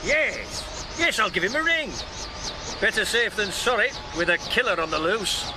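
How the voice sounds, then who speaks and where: Cautious police boss for radio play: high, expressive, noise behind. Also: male voice, moderate pace. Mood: concerned, official. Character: brisk, cautious male English police superior.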